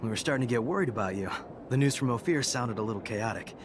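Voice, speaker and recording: Actor voicing intrigued welcoming man, video game, expressive delivery, animated mid-range pitch, background noise. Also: quick pace, natural voice. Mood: genial, intrigued. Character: genial, intrigued man welcoming friends to his city. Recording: game dialogue over clear ambient noise.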